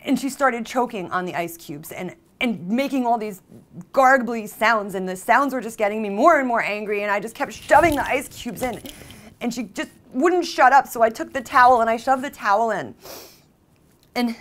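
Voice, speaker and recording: A woman playing a jilted, obsessive character who confesses in a halting, conversational dramatic monologue, with volatile emotion. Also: a little high, expressive, lightly acted. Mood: frantic, angry. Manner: halting, emotionally volatile, mimics others' exclamations. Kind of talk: dramatic monologue, conversational first-person storytelling.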